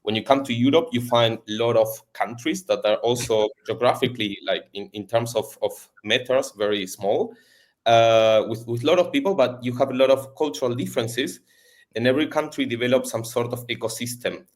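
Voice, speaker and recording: A man from Argentina with an Argentine Spanish accent, a native Spanish speaker, talking as a podcast guest in a halting way with many fillers and repeats. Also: medium pitch. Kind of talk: casual interview chat.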